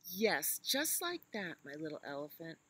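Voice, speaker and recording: Woman as a patient, slow-talking mother elephant. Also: low-pitched, big pitch swings, a bit bright, slightly rough, no echo, full character voice. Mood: gently encouraging. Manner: patient, instructive. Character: patient, gentle mother elephant teaching her little one.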